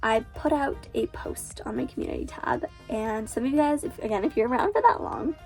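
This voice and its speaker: Stuffed-up nasal teen girl author vlogging, kinda high voice, faint music behind. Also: huge pitch swings, a little fast, darkish tone. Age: teens. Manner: chatty, lots of fillers like "like". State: congested, stuffy nose from a cold. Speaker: author and booktuber. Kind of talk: casual vlog talk.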